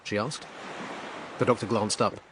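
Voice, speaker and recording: A man's voice: lowish voice, steady tone, unhurried, fairly bright tone, a little hiss or hum. A man reading audiobook narration in a wry storytelling manner. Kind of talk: scripted narration.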